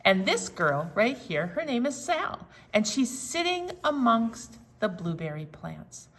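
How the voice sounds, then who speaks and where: A woman presenting a children's storytime, explaining things simply and in an animated way, with sing-along songs. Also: on the high side, unhurried. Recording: roomy, distant mic, some background noise.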